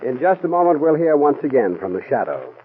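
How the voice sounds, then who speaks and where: A man announcing a 1940s radio drama in a crisp, formal manner. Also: fairly high pitch, very animated, a little fast, narrow, tinny audio. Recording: old radio broadcast with music.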